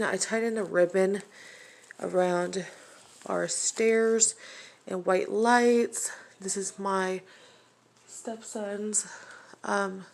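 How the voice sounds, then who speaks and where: A woman's voice: medium pitch, creaky, a little mellow. A woman from Ohio with a nasal, allergy-stuffed voice, giving a casual home tour on a handheld phone and filling her talk with lots of ums. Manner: slow, filler-heavy chatter with many ums. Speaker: home vlogger. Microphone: phone held in hand.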